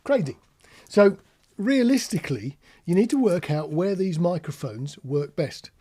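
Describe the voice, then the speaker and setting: A man's voice: sits high, lively intonation, a little slow, warm tone, slightly rough. A British man giving an informal, practical tutorial, explaining things plainly with anecdotes as an instructor. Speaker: marine radio instructor.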